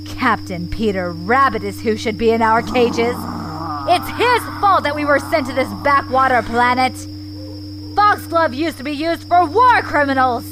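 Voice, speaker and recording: A woman playing a vengeful, scheming fox commander in an audio space drama, menacing and deliberate in a theatrical way. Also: sits high, animated, over music, low-quality recording. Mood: vengeful, gloating. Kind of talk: scripted audio drama acting.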